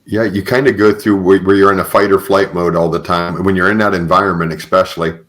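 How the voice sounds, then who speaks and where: A man with a notably low voice speaks as a podcast host. He talks in a conversational, earnest way, affirming the other person and peppering his phrases with "you know.". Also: steady tone, fast talker, a bit bright. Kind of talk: conversational interviewing.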